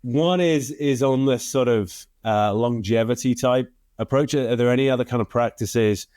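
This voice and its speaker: A man's voice: mid-range voice, moderate pace, darkish tone, smooth, no room sound, studio quality. A man hosting a podcast interview, asking questions and backing up his guest with frequent agreement. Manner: encouraging, with frequent "yeah" and small restarts. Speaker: podcast host.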